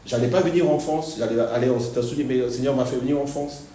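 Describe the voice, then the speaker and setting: Male pastor, stop-start delivery with frequent laughs, mellow voice; distant mic in an echoey church hall. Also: mid-range voice, a little hiss or hum. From Argentina. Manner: halting, repeats words, laughs often. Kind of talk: sermon.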